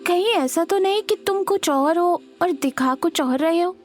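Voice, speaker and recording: High-voiced, earnest young wife. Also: female voice, a little slow, faint music behind. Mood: puzzled, pleading.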